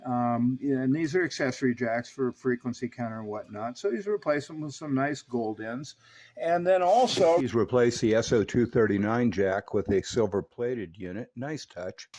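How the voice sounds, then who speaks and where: A man's voice: medium pitch, normal speed, a little mellow. A man giving informal, conversational tech commentary as a radio repair technician, with wry, incredulous asides.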